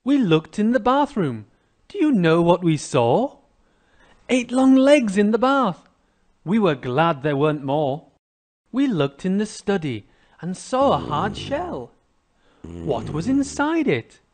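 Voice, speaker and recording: An American man narrates a children's cartoon story in a fairly high male voice. He goes slowly, with very lively intonation.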